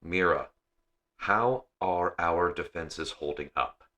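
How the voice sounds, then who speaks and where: A commanding female starship captain from a science fiction audiobook, read by a man. The voice is deep and forceful, paced slowly, with weary resolve. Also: exaggerated voice.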